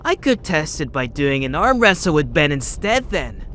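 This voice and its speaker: A man's voice: fairly high pitch, very animated, normal speed, a bit bright, slight background noise. A young man voicing a boyish, impulsive and secretly caring friend in a webtoon fan dub. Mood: cheeky, casual.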